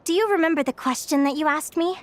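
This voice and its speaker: An actress voicing a cheerful, curious young woman researcher in a video game, talking in an eager, animated way. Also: sits high, quick pace, a little hiss or hum, close to an everyday voice. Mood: earnest.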